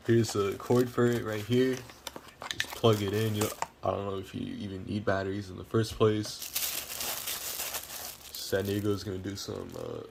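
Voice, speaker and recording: Low-voiced man, casual unboxing vlog. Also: little pitch movement, normal speed, vocal fry, noisy background. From United States. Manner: informal, occasionally swearing. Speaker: hobbyist video host. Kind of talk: casual unboxing and setup demo.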